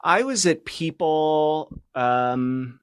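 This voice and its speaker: A man's voice: a little high, animated, very slow, smooth, dry recording. American man, dry close sound, casual. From California, United States. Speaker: podcast guest, journalist. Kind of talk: casual conversational recounting.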